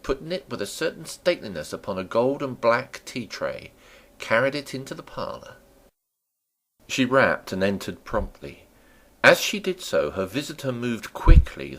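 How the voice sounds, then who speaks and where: A man narrating a classic novel as a dramatic reading, with theatrical, deliberate phrasing. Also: medium pitch, fairly bright tone. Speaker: audiobook narrator.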